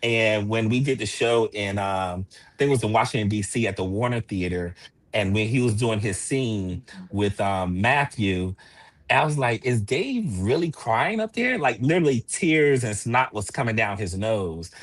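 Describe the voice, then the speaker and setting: A man's voice: lowish voice, animated, normal speed, dry recording. An American man speaking earnestly and emphatically as an interview guest, in casual promotional talk. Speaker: interview guest from a stage production.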